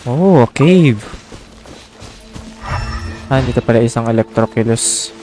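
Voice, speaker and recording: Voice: medium pitch, lively intonation, a little slow, warm tone. A game streamer and native Filipino speaker, giving casual off-the-cuff commentary while playing. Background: game music.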